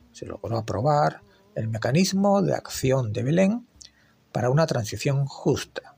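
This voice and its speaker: A man reads a commentary segment with a gravelly, husky voice that rises and falls a lot. His delivery is wry and critical. Soft music plays underneath. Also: mid-range voice. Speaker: environmental commentator. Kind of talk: scripted opinion segment.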